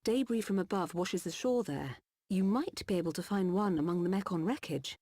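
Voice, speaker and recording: An actress playing a calm, dutiful village doctor of a machine race in a video game cutscene, over music. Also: female voice, lowish voice, normal speed. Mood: steady, reassuring.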